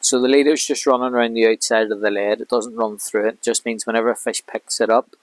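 A man in his thirties, a fishing vlogger, calmly explaining each step of his rig while working outdoors by a lake with a clip-on mic. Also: mid-range voice, quick pace, no echo. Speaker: carp angler vlogger. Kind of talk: casual how-to commentary.

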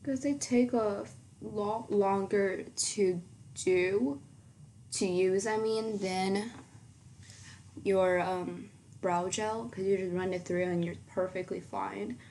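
A teenage girl chatting casually through her makeup routine in a small bedroom, rambling with lots of ums and likes, her voice fairly low for her age. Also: fairly even intonation, takes it slowly, dark tone, a little hiss or hum. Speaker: beauty vlogger. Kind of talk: casual makeup tutorial chat.

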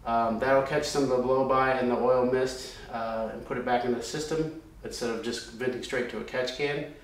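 A man's voice: medium pitch, steady tone, moderate pace, far from the mic, a little hiss or hum. A man in his thirties casually explaining his race car's oiling system at a chalkboard, loose and conversational with many ums and restarts. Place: workshop room with a chalkboard. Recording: very echoey room, voice a bit off-mic.

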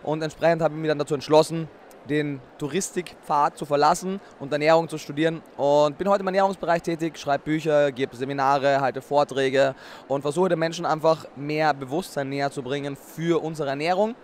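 A man's voice: a little high, steady tone, fairly quick, a little hiss or hum. A young adult man from Austria, answering interview questions fluently at an indoor expo booth into a handheld mic, often chuckling before he answers. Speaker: interview guest, nutrition expert.

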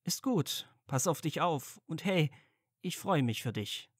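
A man's voice: on the high side, animated, a little slow, slightly rough, dry recording, studio quality. A man playing a caring, curious young wizard and supportive friend in a fan fiction audio drama, casual and earnest. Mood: concerned.

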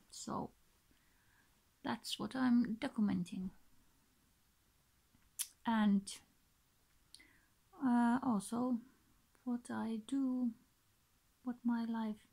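A woman in her thirties with a Finnish accent, talking casually and hesitantly to the camera in short, halting, breathy phrases. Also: fairly high pitch, a little mellow. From Finland. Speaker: vlogger introducing her channel. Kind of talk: casual unscripted talk to camera. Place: small bedroom, indoors. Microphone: built-in device mic, close to face.

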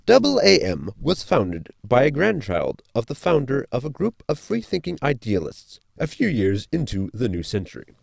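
An actor playing an articulate, bookish science officer in a sci-fi comedy audio drama, speaking formally and precisely. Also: male voice, mid-range voice, vocal fry, darkish tone, dry recording, clean studio sound, full character voice. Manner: formal, precise, long-winded.

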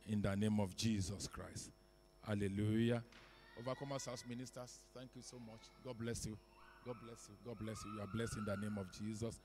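A man's voice: lowish voice, steady tone, moderate pace, darkish tone. A young man hosts a memorial church service, giving earnest announcements and repeated thanks into a handheld microphone in a large wood-panelled church hall.

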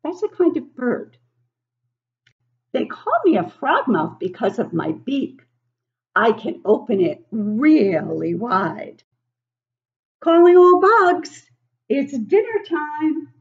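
Woman, 60s, teacher reading to little kids. Unhurried, exaggerated, swooping delivery, slightly muffled home webcam recording. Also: high-pitched. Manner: expressive, exaggerated, asks listeners questions. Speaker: teacher reading a picture book to children. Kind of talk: animated read-aloud for young children. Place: living room at home, indoors.

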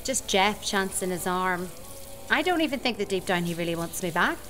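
A woman's voice: mid-range voice, lively intonation, moderate pace, fairly bright tone, close to an everyday voice. A woman acting in a radio soap as a frank hairdresser with a dry, conversational manner. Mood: candid.

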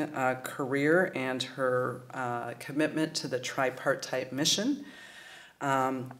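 A middle-aged woman reads a formal introduction into a podium microphone in a wood-panelled lecture hall. She stumbles along with frequent ums and uhs. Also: extremely low pitch. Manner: halting, many ums and uhs. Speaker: presenter introducing an award recipient.

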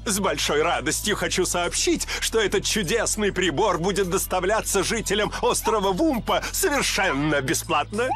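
An actor voicing a scheming, greedy male villain who pitches his product like a showman, in a game cutscene with music behind. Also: animated, normal speed, hardly any pauses. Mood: grandiose. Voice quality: theatrical, salesman-like delivery. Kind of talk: Russian video game dub.

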